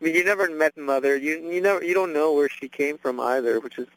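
A young man talks casually as an interview guest. His voice sits fairly high and the sound is close and dry. He chats loosely, with restarts and easy laughter. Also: fast talker, a bit bright, dry recording, low-quality recording. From Canada. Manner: chatty, laughing, filler-heavy. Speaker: actor guest.

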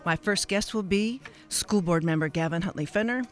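A woman hosting a live radio interview show, with a wordy, halting delivery that wanders into asides. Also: on the low side, faint music behind.

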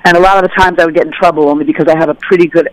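A woman telling stories as an interview guest, animated and conversational, acting out the voices of people she quotes. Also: low-pitched, racing, no echo, low-quality recording. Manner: animated, acts out dialogue in voices. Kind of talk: casual conversational storytelling.

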